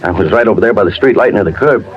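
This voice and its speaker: An actor playing a scared, earnest young newsboy witness who hesitates and stammers, in a 1950s radio crime drama. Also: male voice, lowish voice, animated, very fast, lightly acted. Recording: muffled old radio broadcast.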